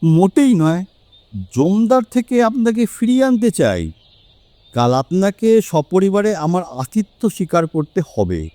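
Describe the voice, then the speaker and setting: A man playing a confident, sharp-minded male detective in an audio story, with deliberate, emphatic phrasing. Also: high voice, very animated, dry recording. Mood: assured. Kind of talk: Bengali audio story acting.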